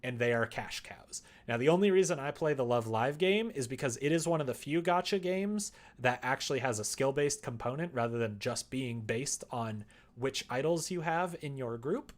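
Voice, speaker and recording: A man with a mid-pitched voice talks casually on a livestream. He explains things in a loose, rambling way, half to viewers and half to himself as he works. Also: animated, normal speed. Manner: rambling explanations, muttering and humming to himself while working. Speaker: streamer developing a visual novel. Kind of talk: casual livestream chat while working.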